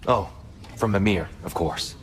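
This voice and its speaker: An actor playing a gentle, courteous old god in a video game, speaking in a formal, measured, humble way. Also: male voice, deep voice. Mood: warm, grateful. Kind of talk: cinematic video game acting.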